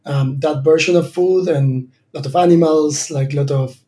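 A man's voice: a little high, steady tone, moderate pace, mellow. A man in his thirties from Argentina, a startup founder answering interview questions thoughtfully, with many fillers and restarts. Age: 30s.

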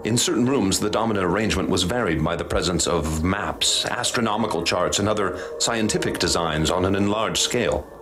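An actor playing a haunted male professor, speaking in a formal, measured, ominous way in a radio drama. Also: low-pitched, over music, natural voice. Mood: grave, measured. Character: grave haunted male professor recounting horrors he witnessed. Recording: clear background noise and ambience.

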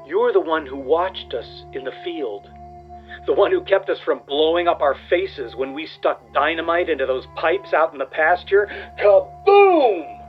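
A tender small-town fellow in a radio play, talking to the dead. His voice sits a little high and he speaks slowly and haltingly, with wonder. The sound is dull and lo-fi. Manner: halting, wondering, repeats himself.

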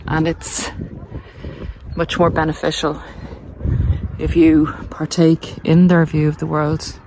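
A woman with an Irish accent giving a casual, explanatory monologue in a measured, halting way while out on a walk. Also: sits low, completely flat delivery, a little mellow. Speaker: podcast host and narcissism recovery coach. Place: outdoors on a coastal cliff walk. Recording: noisy outdoor handheld recording.

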